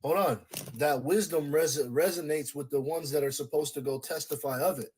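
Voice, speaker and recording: A man with a husky, slightly breathy voice that sits a little high for a man. He preaches insistently in a debate, hammering the same points and breaking in to hold the floor. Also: normal speed, darkish tone. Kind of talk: religious debate.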